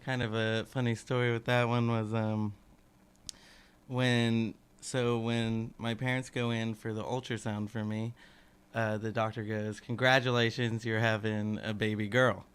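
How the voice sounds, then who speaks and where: This is a young man from the Bay Area with a smooth, clean speaking voice. He is a band's bass player and tells a story in a relaxed, joking way. He is a guest in a studio interview on a community radio station. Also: unhurried. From San Francisco Bay Area. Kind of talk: casual interview chat, storytelling. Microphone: studio mic.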